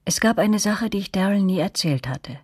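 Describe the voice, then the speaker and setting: Casting: wistful female narrator of radio mystery, medium pitch, breathy rasp, reflective. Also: expressive, moderate pace, darkish tone, no echo, studio quality. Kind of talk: scripted radio drama narration.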